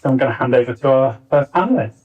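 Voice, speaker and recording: Male panel chair, thirty, mid-pitched voice, moderating live, picked up from a distance. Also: expressive, rapid-fire, slightly rough, phone-quality sound. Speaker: panel chair, writer and director. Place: arts centre theatre, live panel. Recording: distant, varying-quality backup live recording.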